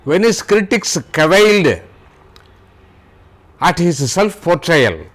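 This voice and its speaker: Slow-speaking Indian man with an accent. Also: fairly high pitch, big pitch swings, a bit bright. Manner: deliberate, spells out words letter by letter. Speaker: YouTube host, writer. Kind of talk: slow literary talk.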